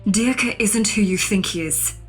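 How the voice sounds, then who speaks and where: An actress playing a sly, poised and worldly woman in a video game, with a breathy, husky voice. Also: medium pitch, measured pace, faint music behind, lightly acted. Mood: warning. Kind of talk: dramatic video game cutscene acting.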